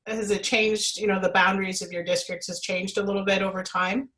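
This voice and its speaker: A woman's voice: medium pitch, level tone, brisk, fairly bright tone, low-quality recording. A woman originally from Jamaica, a sommelier hosting a casual interview, asking friendly, winding questions full of asides. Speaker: sommelier host.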